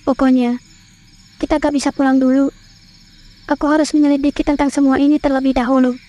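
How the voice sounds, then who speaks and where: Lady acting as stubborn suspicious young indonesian bride-to-be, horror cartoon, high soft dark-toned voice. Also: level tone, brisk, close to an everyday voice. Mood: determined.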